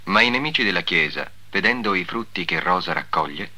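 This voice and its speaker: A man's voice: deep voice, lively intonation, a little fast, bright, forceful tone. A man giving a formal, solemn scripted narration in the style of the 1950s.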